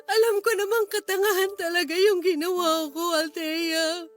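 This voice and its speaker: Distraught young woman, Filipino accent, extremely high voice, tearful and self-blaming radio drama confession over soft music. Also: steady tone, normal speed. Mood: tearful, ashamed. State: crying. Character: distraught, ashamed young woman confessing abuse to her cousin. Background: background music.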